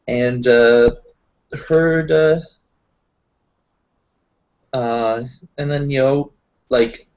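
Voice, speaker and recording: A man improvising in a tabletop roleplaying session, talking haltingly and often searching for his words. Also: mid-range voice. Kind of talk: improvised in-character roleplay chat. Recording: dull lo-fi voice recording.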